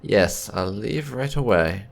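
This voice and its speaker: A man's voice: fairly low pitch, lively intonation, takes it slowly, gravelly. A man hosting a casual roleplay let's-play, musing and hesitating as he talks. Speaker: gaming channel host.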